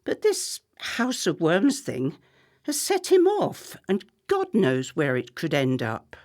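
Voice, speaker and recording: A woman as a slow, low-voiced political operative. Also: wildly swooping pitch, a little mellow, heavily acted. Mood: troubled, weary. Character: discreet, ambitious female campaign manager, controlled and deferential. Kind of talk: radio play acting.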